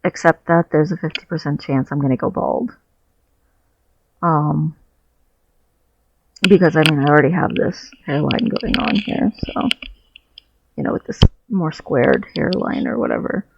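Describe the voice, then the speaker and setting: Guy vlogging from his bed, gravelly voice, candid and thoughtful, muffled lo-fi headset sound. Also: on the high side, measured pace, pauses often, noisy background. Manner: candid, reflective, searching for words. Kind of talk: personal video diary. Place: on a bed at home. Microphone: headset mic. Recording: dull, muffled lo-fi headset audio.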